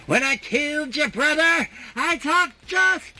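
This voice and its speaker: A man's voice: animated, slow, noisy background, heavily acted. A man voicing a menacing, manic cartoon villain through a voice changer. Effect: voice changer pitch shift.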